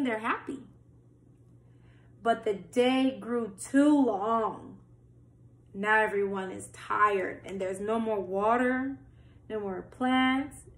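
A woman's voice: a little high, a bit bright. A young woman reading a picture book aloud to children, adding simple explanations and questions for her young listeners. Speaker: storytime reader for children. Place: small plain-walled room indoors. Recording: roomy, echoing home recording.

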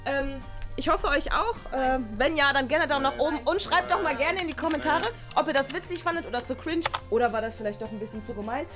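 A woman's voice: high-pitched, quick pace, loud music behind the voice. A woman in her twenties hosting a video in a casual, playful way. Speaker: host of an online prank date show.

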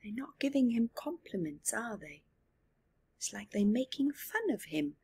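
A middle-aged woman reading aloud to children with commentary, in an expressive, emphatic manner. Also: on the high side, measured pace, vocal fry, slightly rough. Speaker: storyteller for children. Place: small living room, indoors.

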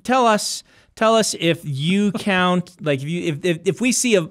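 A man's voice: high-pitched, lively intonation, normal speed, a bit bright. A man in his forties co-hosting a podcast in casual, rambling chat, correcting himself as he goes, on a studio mic. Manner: rambling, self-correcting, playful. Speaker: podcast co-host. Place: small studio set indoors. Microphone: boom-mounted studio mic.

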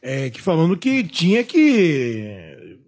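A man on a film podcast, talking in an animated, slangy and profane rant. His voice sits a little high and swings widely in pitch, with a husky edge. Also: slow pace. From Salvador, Bahia, Brazil. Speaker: film critic, podcast panelist. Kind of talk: casual podcast chat.

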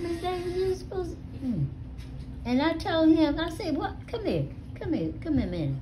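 Elderly woman, American, highish pitch, casual animated storytelling, salty, noisy home phone recording. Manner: animated, salty, acts out dialogue with swearing. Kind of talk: casual storytelling among family. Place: small living room at home. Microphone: phone held near her.

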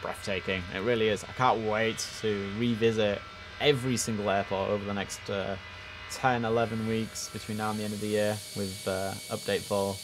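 A young man talking from his desk through a headset, chatty and easygoing with viewers, switching into clipped tower radio phrasing. His voice sits a little low, and there is a steady noise floor behind him. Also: moderate pace. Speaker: streamer playing air traffic control. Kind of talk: casual gameplay commentary with radio calls. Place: home room at a desk.

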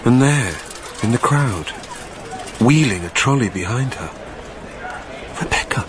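A man's voice: medium pitch, animated, measured pace, noisy background, natural voice. A man playing a wistful, haunted dead man, narrating a radio drama in a literary, brooding way. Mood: yearning. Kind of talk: dramatic first-person scripted narration.

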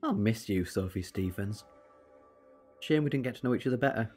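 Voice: medium pitch, animated, brisk, a little mellow, breathy edge, faint music behind. An actor playing an eccentric alien time traveller in an audio drama, wry and quibbling but earnest and persuasive. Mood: wistful. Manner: wry, quibbling, turning solemn.

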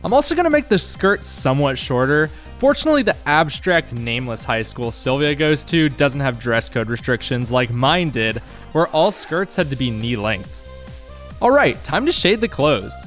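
A man's voice: mid-range voice, sing-song, normal speed, a bit bright, soft background music. A young man narrating a scripted vlog about his own work, with wry, self-deprecating humour. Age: young adult. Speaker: video creator and game developer.

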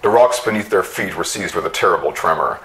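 A middle-aged man reading a story aloud in a theatrical, deliberate manner. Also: low voice, lively intonation, fairly bright tone. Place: small room with bookshelves.

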